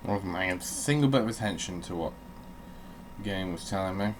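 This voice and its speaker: A man's voice: low-pitched, brisk, a little hiss or hum. A man giving casual commentary while playing a game, rambling and muttering asides to himself. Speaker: host of a game playthrough channel.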